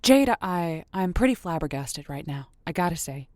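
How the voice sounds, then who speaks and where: A woman acting as a wry radio matchmaking host. Her voice sits fairly low and sounds dry and studio-close. She talks conversationally, leaning on words for comic emphasis. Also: expressive, moderate pace, darkish tone, no echo, close to an everyday voice. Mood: probing. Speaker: radio call-in show host.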